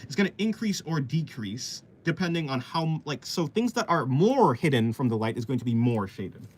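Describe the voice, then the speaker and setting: A man's voice: a little high, big pitch swings, moderate pace, a little mellow, slight background noise. A man streaming while he draws, chatting casually and explaining art, with rambling talk that often restarts mid-thought. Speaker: artist streamer. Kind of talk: casual live-stream chat while drawing.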